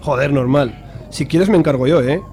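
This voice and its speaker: A man playing a loyal, crude friend who talks in quick slang. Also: on the high side, a little mellow, a little hiss or hum, natural voice.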